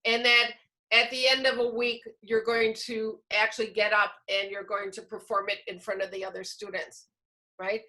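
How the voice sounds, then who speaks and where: A woman's voice: on the high side, little pitch movement, normal speed, sharp tone, rough recording. A woman presenting a teacher-training session, explaining informally with examples as she answers questions. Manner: explanatory, illustrates points with examples.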